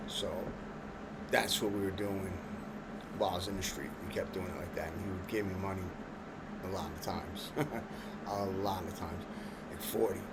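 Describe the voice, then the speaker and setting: A man's voice: sits low, heavy background noise. A man with a Long Island accent rambling through a personal story in streetwise slang, full of fillers and restarts. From Long Island, New York. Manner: streetwise slang, filler-heavy, restarts, laughs at his own jokes. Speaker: vlogger telling a personal story.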